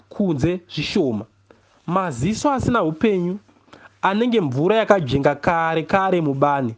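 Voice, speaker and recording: Man, Zimbabwean accent, high voice, expressive audiobook narration, measured storytelling, deliberate pauses. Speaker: reader of a novel, poet. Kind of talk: expressive first-person literary audiobook narration in Shona.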